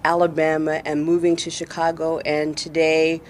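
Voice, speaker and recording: An American woman hosting an interview show with deliberate, formal phrasing. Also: deep voice, monotone, darkish tone, a little hiss or hum. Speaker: talk show host, interviewer.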